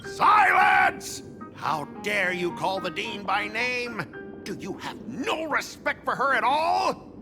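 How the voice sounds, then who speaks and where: A man voicing an indignant, hot-headed high school boy in a video game, bombastic and melodramatic. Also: very animated, slow, raised voice, exaggerated voice. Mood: indignant, pompous. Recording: music behind the voice. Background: game music.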